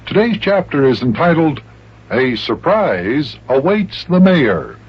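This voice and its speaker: An actor playing a cheerful grown-up guardian who tells the story in an old children's radio serial. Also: male voice, a little high, big pitch swings, slow pace, very noisy. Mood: friendly storytelling. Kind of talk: 1950s children's radio serial narration and acting. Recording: dull old radio recording.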